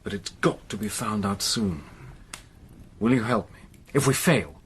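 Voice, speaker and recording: An actor playing a steady army major in a radio drama, measured and earnest, also narrating. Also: male voice, fairly low pitch, slight background noise. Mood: resolute.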